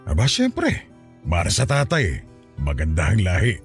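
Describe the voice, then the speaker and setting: Stern soldier dad, Filipino accent, very low voice, swooping intonation, fatherly and teasing, radio drama. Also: wildly swooping pitch, unhurried, faint music behind, exaggerated voice. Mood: amused boasting. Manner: authoritative, fatherly, lecturing. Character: stern, teasing soldier father sizing up his daughter's suitor. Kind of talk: Tagalog radio drama acting.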